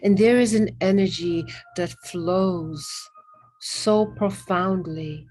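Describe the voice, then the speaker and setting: A woman leading a spiritual guided meditation in a gentle, soothing, reverent manner, with long pauses between short phrases. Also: on the low side, rough recording. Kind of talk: guided meditation with ASMR elements.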